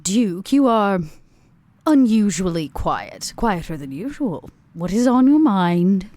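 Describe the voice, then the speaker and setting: A woman playing a shrewd, commanding, elegant vampire schemer in a tabletop game, speaking in formal, deliberate, emphatic phrases. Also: mid-range voice, very animated, warm tone, dry recording, voice pushed up. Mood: probing.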